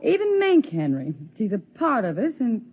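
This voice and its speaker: Nervous suburban mom, old radio play, expressive swings in pitch, muffled vintage sound. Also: female voice, moderate pace, husky, exaggerated voice. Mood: wry, pensive. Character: anxious suburban mother turning terrified by invasion. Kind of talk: 1950s radio drama acting. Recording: muffled, hissy 1950s radio recording.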